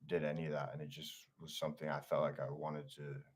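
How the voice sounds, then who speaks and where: Casting note: young male singer, very deep voice, relaxed and slangy. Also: fairly quick, slightly rough. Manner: laid-back, slangy, laughing easily. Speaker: singer, interview guest. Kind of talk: casual interview chat.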